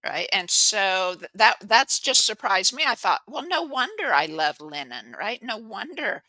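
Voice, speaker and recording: A woman's voice: medium pitch, big pitch swings, unhurried, pushed and bright, no echo, rough recording. A woman hosting a podcast over a video call, chatting in an upbeat, rambling way. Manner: upbeat and chatty, heavy on fillers and restarts. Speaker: podcast host. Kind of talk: casual interview chat.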